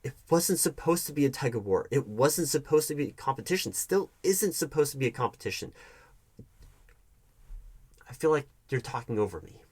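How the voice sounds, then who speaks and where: A man playing a defensive, wounded hiring manager arguing with his ex in a conversational audio roleplay, halting and often throwing questions back. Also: medium pitch, expressive, a little mellow, breathy edge. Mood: defensive, exasperated. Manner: defensive, halting, repeats the other's accusations as questions. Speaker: roleplay performer. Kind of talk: one-sided audio roleplay, conversational acting.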